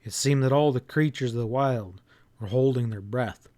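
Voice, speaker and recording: A man reading an audiobook as a first-person narrator, in an expressive, folksy storytelling manner. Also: medium pitch, moderate pace.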